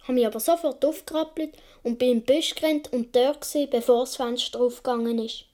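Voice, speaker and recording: A woman's voice: high voice, fairly even intonation, fairly quick, dark tone, natural voice. A woman playing a young Swiss boy who tells his childhood adventures vividly in a radio play, with a Swiss German accent. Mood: absorbed storytelling. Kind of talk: first-person radio play narration.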